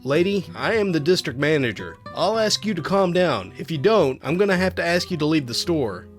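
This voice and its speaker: A man voicing a calm, authoritative male manager in a story, speaking firmly and formally. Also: fairly high pitch, brisk, soft background music. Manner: firm, formal, composed.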